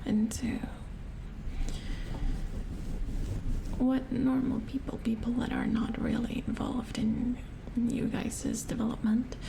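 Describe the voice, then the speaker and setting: Slow whispering female robot repair engineer acted by a woman. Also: a little high, level tone, poor audio. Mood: musing, explanatory. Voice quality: breathy whisper. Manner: slow, halting, musing explanations. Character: calm, amused female robot repair engineer, patient explainer. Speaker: ASMR performer. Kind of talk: soft-spoken ASMR roleplay.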